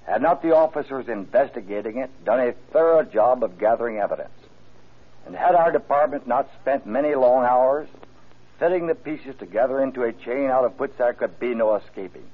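A man's voice: mid-range voice, expressive, moderate pace, slightly rough, phone-quality sound. A man, a district attorney, giving a stiff, formal prepared statement on old-time radio. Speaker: district attorney, guest speaker. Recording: band-limited old radio broadcast.

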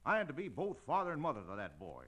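A man's voice: mid-range voice, wildly swooping pitch, fast, sharp tone, slightly rough, heavily acted. An actor playing a stubborn, hot-tempered middle-aged marshal and troubled father with an American Western accent and folksy, blustering talk, in an old radio Western. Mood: rueful, defensive. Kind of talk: old-time Western radio drama acting.

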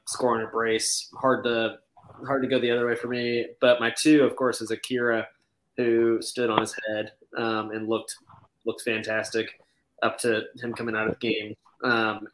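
An American man with a voice of middling pitch talks soccer on a podcast. His delivery is relaxed and loose, padded with ums and you-knows. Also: low-quality recording. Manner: relaxed, filler-heavy. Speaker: podcast co-host. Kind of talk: casual sports chat.

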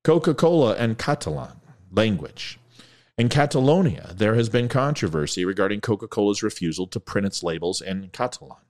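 Man, lowish voice, reads facts aloud, throws in wry asides, trips on foreign names. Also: animated, moderate pace, darkish tone. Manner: jokey, stumbles over foreign names. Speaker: commentary video host. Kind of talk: reading facts with offhand asides.

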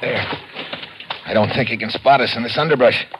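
An actor playing a tough, wry American adventurer, brisk and slangy, in an old radio drama. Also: male voice, medium pitch, lightly acted. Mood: firm, reassuring. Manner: brisk, slangy, take-charge. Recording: noisy old radio recording.

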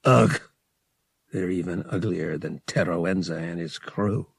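Cynical young space pilot for audiobook, read by a man: wry, wary, gravelly, husky. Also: sits low, measured pace, no echo, voice pushed down, exaggerated voice. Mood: disgusted. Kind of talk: audiobook character dialogue.

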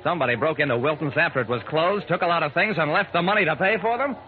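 A man's voice: fairly high pitch, fast talker, narrow, tinny audio, lightly acted. A man acting as an earnest, kindly amateur sleuth who narrates his own story in an old radio drama. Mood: measured, intrigued. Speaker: narrator and lead actor. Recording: noisy, band-limited old radio broadcast.

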